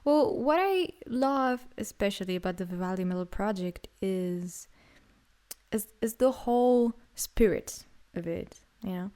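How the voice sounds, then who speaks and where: A young adult woman from Greece, a singer and songwriter, answering interview questions in an unhurried way, with many fillers and restarts. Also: mid-range voice, lively intonation, warm tone.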